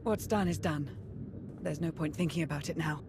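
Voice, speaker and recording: A woman's voice: sits low, normal speed, noisy background, natural voice. A woman playing a composed, loyal military adviser in a video game. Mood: sober. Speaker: adviser to the commander. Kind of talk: dramatic video game acting.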